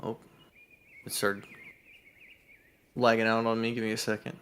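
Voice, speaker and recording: Man, lowish voice, live stream co-host, casual chat, story narration. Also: monotone, measured pace, fairly bright tone, smooth.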